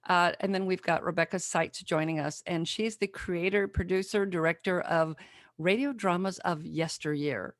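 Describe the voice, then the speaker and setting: A woman's voice: on the low side, normal speed, dry recording, clean studio sound. A woman hosting a podcast in a casual, chatty way. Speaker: podcast host.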